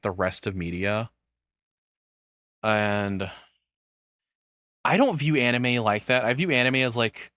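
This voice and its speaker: A man's voice: mid-range voice, fairly bright tone, no room sound, clean studio sound. Rambling man giving casual reaction commentary. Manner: rambling, thinking aloud, casually profane. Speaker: streamer reacting to a video.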